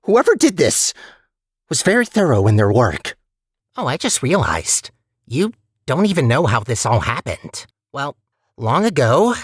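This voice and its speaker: A man's voice: fairly high pitch, fairly bright tone, no echo, full character voice. A chatty male robot core, played by a man, guides the player through a video game. The delivery is theatrical yet conversational, with widely swinging intonation and an anxious edge. It sounds dry, with no room around it. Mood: wistful, bitter. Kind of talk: animated video game voice acting.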